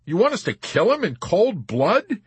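A man's voice: high voice, big pitch swings, unhurried, husky, no room sound, voice pushed up, full character voice. A man voicing a male airman in an audiobook, objecting with reluctance and exasperation. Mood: reluctant, exasperated.

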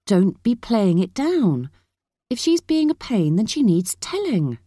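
An angry aunt from an audiobook drama, acted out by a female narrator, scolding and sharp. Her voice sits raised in pitch, with a harsh temper, in a close, dry recording. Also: lively intonation, measured pace, no room sound. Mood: exasperated.

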